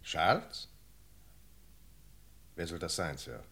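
Earnest sergeant, 1960s German radio drama, lowish and very animated, formal, insistent delivery. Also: male voice, heavily acted. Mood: puzzled. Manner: insistent, deliberate, formally polite. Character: earnest male British police sergeant questioning a witness.

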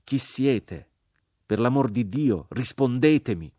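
A man's voice: sits low, brisk, dark tone, slightly rough, no room sound, studio quality. A man playing a horrified lone rider in a literary story, crying out and imploring desperately. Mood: alarmed, calling out. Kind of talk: dramatized literary audiobook.

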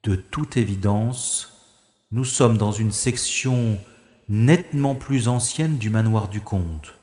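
A man's voice: lowish voice, slow pace, darkish tone, echoey room, long reverb tail. An actor playing a composed special agent hero who narrates his own escape in the first person with dry, wry asides. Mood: calm. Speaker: lead character and narrator.

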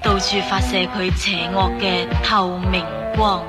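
Even-toned woman narrator over loud music. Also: lowish voice, unhurried. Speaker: radio drama narrator. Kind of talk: scripted dramatic introduction.

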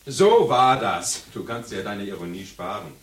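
An actor playing an opinionated, combative literary scholar in a radio drama, arguing eloquently and emphatically. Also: male voice, lowish voice, big pitch swings, unhurried, fairly bright tone. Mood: fervent, insistent. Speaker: seminar participant. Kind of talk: radio drama monologue, academic argument. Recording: echoey room, distant from microphone.

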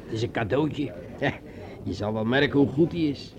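An actor playing a boastful, jovial sergeant in a radio drama, boisterous and coaxing, with drawn-out theatrical delivery. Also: male voice, medium pitch, lo-fi sound, background noise, natural voice.

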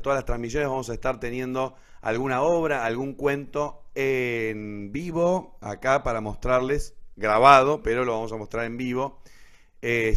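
A man's voice: medium pitch, fairly bright tone, clean tone. A man hosting a live online show, explaining things and checking in with short tag questions. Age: adult, teacher and writer. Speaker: host. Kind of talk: presenting a live online radio theatre show.

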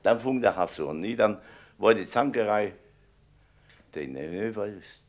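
A man's voice: on the low side, expressive, unhurried, dull audio. An older man recalling the past off the cuff as an eyewitness, rambling and repeating himself with frequent tag questions. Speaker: eyewitness interviewee. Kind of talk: spontaneous spoken recollection.

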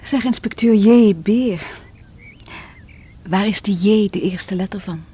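Breathy, distraught woman in radio drama. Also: mid-range voice, slow pace. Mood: mocking despair. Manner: theatrical, emotional, often breathy and hushed. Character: distraught lovelorn divorced woman confessing bitterly to a police inspector. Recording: noisy vintage radio play recording.

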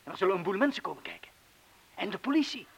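An actor with a Flemish accent playing an anxious, protective man in a radio drama, speaking haltingly and urgently. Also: sits high, big pitch swings, creaky, breathy edge, highs cut off, exaggerated voice. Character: anxious, protective man urging his lover to leave. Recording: hissy old radio play recording.

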